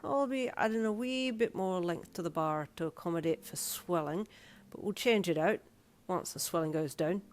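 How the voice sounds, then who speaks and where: A woman's voice: fairly low pitch, moderate pace, close to an everyday voice. A woman voicing a friendly, chummy Irish man who works as a piercer and tattoo artist in a narrated story. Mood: businesslike, friendly. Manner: chummy, colloquial, cheery.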